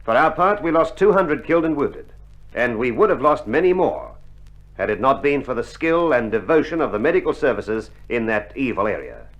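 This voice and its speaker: A man's voice: mid-range voice, sing-song, normal speed. A man giving solemn, formal scripted narration for a war documentary. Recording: muffled 1960s vinyl record.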